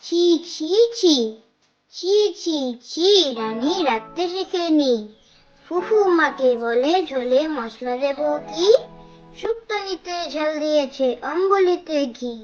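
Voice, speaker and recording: A performer voicing a young child who leads cartoon nursery rhymes in a measured, repetitive sing-song. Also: high-pitched, expressive, highs cut off, soft background music.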